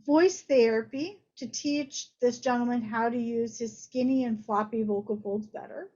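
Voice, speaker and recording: A woman's voice: a little high, moderate pace, darkish tone. A woman doctor giving an informal medical lecture, explaining things conversationally with everyday analogies over slightly muffled audio.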